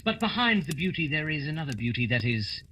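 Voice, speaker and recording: Man acting a theatrical detective, declamatory, dry-witted, forceful tone, animated, radio drama. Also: on the high side, brisk, slight background noise. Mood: dry, grand. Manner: formal, declamatory. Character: shrewd, theatrical male detective, dryly explaining.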